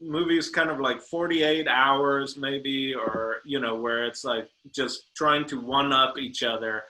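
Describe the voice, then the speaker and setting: Bright-voiced man rambling about a movie. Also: medium pitch, fairly even intonation, slow pace, rough recording. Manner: rambling, many restarts and fillers. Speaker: podcast co-host. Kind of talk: casual movie review chat.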